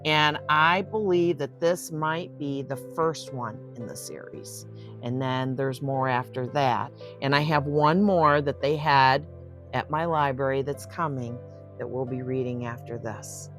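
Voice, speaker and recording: A woman's voice: extremely low pitch, unhurried, soft background music. An older woman reading picture books aloud as host, with effusive, exclaiming asides spoken straight to young listeners. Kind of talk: storybook read-aloud with chatty asides.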